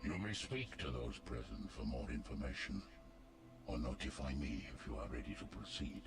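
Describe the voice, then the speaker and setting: Very deep, gravelly guardian of souls. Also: male voice, expressive, normal speed, over loud music. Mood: measured, formal. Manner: formal, solemn, archaic phrasing. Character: grave, solemn male guardian of souls of the dead. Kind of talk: fantasy video game character acting. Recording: noisy background ambience.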